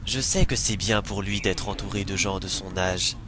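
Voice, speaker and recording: A man's voice: fairly even intonation, moderate pace, lightly acted. A man voicing a polite, worried old grandfather in an amateur French dub of a fantasy game, speaking formally and hesitantly. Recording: noisy amateur recording, rumbling background.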